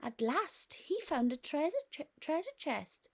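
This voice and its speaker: A nursery teacher reading a picture book aloud to young children in a gentle, expressive way. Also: female voice, sits high, measured pace.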